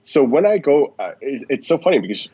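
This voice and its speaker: A man from Switzerland, answering interview questions in a relaxed, thoughtful way with frequent restarts. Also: mid-range voice, a little fast, slightly rough, no echo, lo-fi sound. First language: Swiss German. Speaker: guest. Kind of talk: podcast interview.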